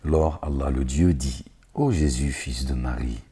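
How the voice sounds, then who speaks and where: A man's voice: a bass voice, a little slow, mellow, slightly rough. A man reading scripture aloud and commenting on it in a digressive way, with sarcastic asides and hesitant fillers. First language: not Arabic. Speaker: religious lecturer reading scripture.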